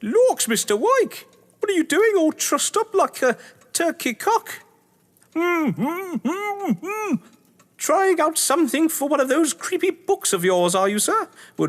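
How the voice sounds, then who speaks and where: A man's voice: very high-pitched, wildly swooping pitch, measured pace, pitched above the performer's own voice, full character voice. A man voicing a nosy working-class British cleaning woman, chirpy and exaggerated, as a comic audio-drama impersonation. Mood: mock chirpy. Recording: echoey hall reverb.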